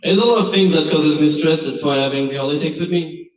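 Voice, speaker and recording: A man's voice: a little high, a little fast. A man from France with a French accent, a native French speaker, answering panel questions as a candidate in an informal, candid, self-deprecating way. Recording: dull, echoey room, distant mic.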